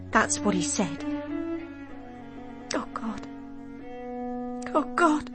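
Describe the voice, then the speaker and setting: High-voiced despairing woman over strings. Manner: halting, distraught. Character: despairing, lovelorn woman, wounded and fragile. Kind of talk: radio drama acting. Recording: string music under the voice. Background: cello music.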